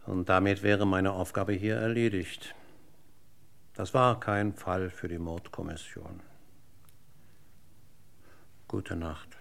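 A probing police inspector in a German radio crime drama. His voice is lowish and creaky, and he talks in polite, formal, winding sentences with deliberate care. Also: steady tone, full character voice. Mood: courteous, wry. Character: courteous, verbose, probing male police inspector.

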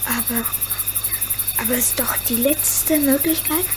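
A woman's voice: high voice, unhurried. A woman playing a brave, curious, earnest young boy in a German radio play, speaking simply and a little hesitantly. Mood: anxious, resolute. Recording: very noisy recording with crickets, frogs.

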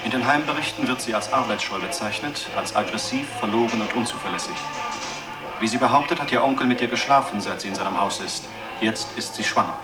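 A man's voice: fairly low pitch, fast, natural voice. Sober actor as a male documentary narrator, distant. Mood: sober, factual. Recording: distant voice under loud music.

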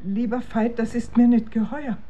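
An actress playing a reluctant, wary midwife in a historical radio play, speaking in terse, guarded, archaic phrases. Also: female voice, on the high side, fairly even intonation, moderate pace, warm tone, slight background noise, natural voice. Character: wary, reluctant wise midwife of olden times.

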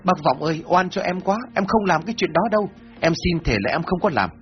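A man voicing a frightened male villager who begs and swears his innocence in a high, whimpering voice. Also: lively intonation, fast, natural voice. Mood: pleading. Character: fearful male villager, pleading and sobbing. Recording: dull lo-fi with faint music.

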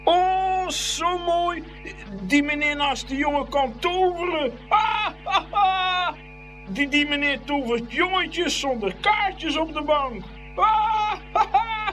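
An actor playing a silly circus clown who mocks in drawn-out wails, in a radio play. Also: extremely high pitch, animated, fairly bright tone, faint music behind, heavily acted, low-quality recording. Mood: mocking glee. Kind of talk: Dutch radio play acting.

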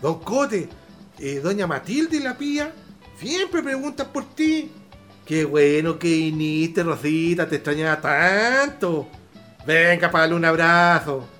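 Radio drama: old rural Chilean peasant father played by a man, proud, affectionate, lively swooping pitch, music underneath. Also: takes it slowly, heavily acted. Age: older.

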